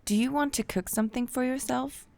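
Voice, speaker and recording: A woman playing a gentle, supportive middle-aged mother, speaking tenderly and reassuringly in an audio drama. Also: high-pitched, brisk, creaky.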